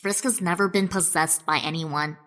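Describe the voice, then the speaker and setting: A woman's voice: on the low side, fairly even intonation, normal speed, fairly bright tone, lightly acted. A woman playing a blunt, fiery, suspicious fighter in a fan comic dub, brash and incredulous.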